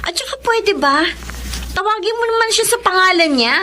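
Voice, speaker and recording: A woman's voice: extremely high pitch, expressive, moderate pace, pushed and bright, exaggerated voice. A young woman playing a friendly, outspoken college student in a Filipino radio drama. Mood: indignant.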